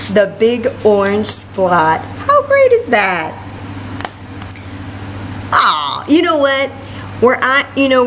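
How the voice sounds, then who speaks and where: Slow-paced woman reading to children. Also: medium pitch, a little hiss or hum, rough recording. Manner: animated, exclamatory, addresses young listeners directly. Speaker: children's library story time host. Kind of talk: picture-book read-aloud with chatty asides to children.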